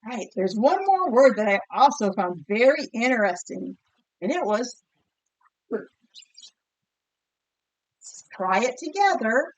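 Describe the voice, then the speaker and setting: A woman's voice: a little high, big pitch swings, measured pace, long pauses, low-quality recording. Woman teacher leading a vocabulary lesson. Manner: encouraging, questioning, enunciates words for pupils to repeat. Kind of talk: read-aloud vocabulary lesson with children.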